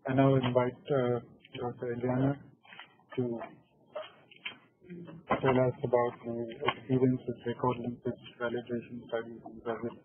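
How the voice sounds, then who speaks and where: A man moderating a conference session, introducing the next speaker in a measured way with filler pauses. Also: medium pitch, completely flat delivery. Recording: tinny phone-like line with faint hiss.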